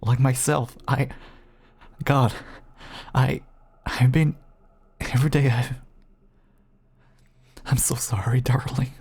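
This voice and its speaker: A man playing a gentle, apologetic, seemingly cured vampire husband in an audio roleplay, pleading tenderly in a soft, breathy, stammering voice. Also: mid-range voice, steady tone, takes it slowly, pauses often. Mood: dazed, apologetic. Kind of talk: intimate audio roleplay acting.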